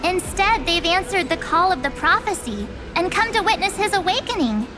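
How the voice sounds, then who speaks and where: A bubbly high anime game girl, playful titan, super dramatic and swoopy. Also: expressive, moderate pace. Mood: earnest, theatrical. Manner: theatrical, dramatic when proclaiming. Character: bubbly playful young woman turned titan deity, theatrically grandiose. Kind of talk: anime-style video game voice acting. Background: music.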